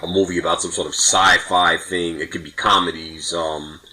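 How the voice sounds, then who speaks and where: Low, bright-edged man chatting casually. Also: level tone, moderate pace, low-quality recording. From New York, United States. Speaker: podcast guest or co-host. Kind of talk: casual chat.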